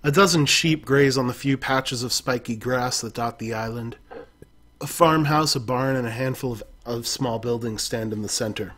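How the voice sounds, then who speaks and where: A man's voice: medium pitch, moderate pace, fairly bright tone. A man reading an interactive adventure story aloud in the second person, stopping now and then to correct himself. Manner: second-person narration, occasional self-corrections.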